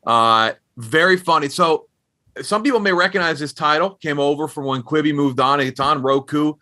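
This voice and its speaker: A man's voice: on the high side, moderate pace, sharp tone. A man hosting a podcast, chatting casually and informally as he interviews a guest.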